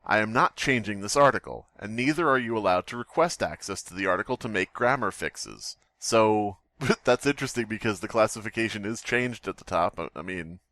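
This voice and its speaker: Animated, bright-voiced man joking around. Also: lowish voice, a little fast, hardly any pauses, dry recording. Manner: irreverent, sweary, joking. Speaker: podcast co-host. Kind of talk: casual comedic chat and reading aloud.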